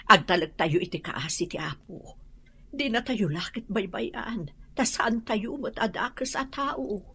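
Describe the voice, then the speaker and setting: An actress playing a worried, devout wife in a radio drama, with a raspy voice. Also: female voice, medium pitch, unhurried, bright, forceful tone, a little hiss or hum. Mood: worried, hopeful.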